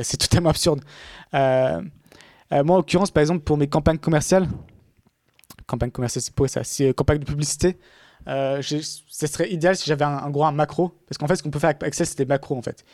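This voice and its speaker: A man's voice: fairly high pitch, fast, a little mellow, no echo, clean studio sound. A man talking casually in a podcast conversation, rambling with many fillers and restarts.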